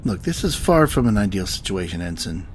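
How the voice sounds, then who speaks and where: An actor playing a stern, weary starship captain in a science fiction audio drama, speaking in a measured, articulate way. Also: male voice, on the low side, very animated. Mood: stern, reproving. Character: stern, weary male starship captain, dryly self-doubting. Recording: faint background ambience noise.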